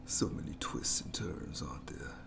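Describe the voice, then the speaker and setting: A man's voice: a bit bright, natural voice. Whispering, sadistic monster acted by a man. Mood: sadistic, musing. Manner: whispery, drawn-out, taunting, mock-courteous delivery. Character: sadistic, playful, menacing monster lurking in its lair. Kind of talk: horror ASMR roleplay.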